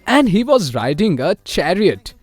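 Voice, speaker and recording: A man's voice: high voice, big pitch swings, measured pace. A man narrating a scripted children's story.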